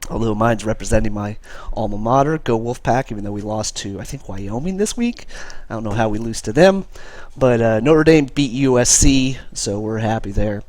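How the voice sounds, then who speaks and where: Man with a head cold, mid pitch, casual rambling caster, dry close sound. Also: dry recording. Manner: relaxed, chatty, many fillers. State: has a cold. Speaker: esports caster and stream host. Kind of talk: casual live game commentary.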